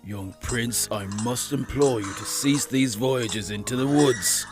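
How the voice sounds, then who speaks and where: A man's voice: medium pitch, measured pace, over music, close to an everyday voice. A man playing a loyal, concerned lord in a fantasy audio drama, speaking formally and earnestly to his prince. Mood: earnest pleading.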